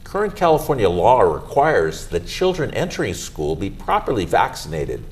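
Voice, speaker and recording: Deep-voiced man hosting a health show. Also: wildly swooping pitch. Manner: measured, formal and deliberate. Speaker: physician and TV show host. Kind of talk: scripted health show presentation and interview.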